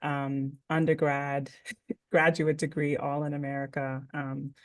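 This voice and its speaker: A woman from the Bahamas on a panel, thinking aloud in a drawn-out way with many ums. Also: low-pitched, level tone. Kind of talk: casual panel discussion.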